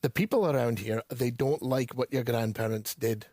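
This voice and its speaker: An actor playing a guarded, reluctant small-town bookseller, measured and formal but hesitant, in an audio drama interview. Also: male voice, medium pitch, darkish tone, no echo, studio quality, close to an everyday voice. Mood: agitated, grave.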